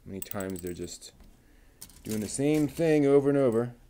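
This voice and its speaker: A man talking himself through assembling a model kit, muttering and pausing between steps. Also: mid-range voice, lively intonation, warm tone. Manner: slow, halting, with many pauses. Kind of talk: casual build narration.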